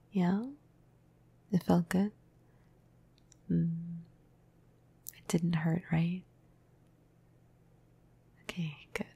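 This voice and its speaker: A woman playing a flirty, caring vampire crush in an intimate ASMR roleplay, speaking softly and breathily into a close microphone with casual hesitations. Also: fairly even intonation, drawn-out words, pauses often, exaggerated voice. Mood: gentle, intimate. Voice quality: breathy, soft, husky, low. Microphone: close microphone, dry.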